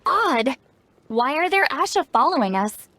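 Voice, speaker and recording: A woman's voice: high voice, sing-song, unhurried, pushed and bright, full character voice. An actress playing a cocky, blunt young female shaman in a video game, speaking in a self-assured, brusque, explanatory way. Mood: wary, pondering. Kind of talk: animated video game voice acting.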